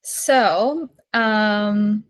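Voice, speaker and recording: A woman's voice: medium pitch, very slow. A woman in her twenties from Japan, a small business owner chatting as a podcast guest, full of "like" and "you know" and easy laughs. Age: 20s. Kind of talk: casual interview conversation.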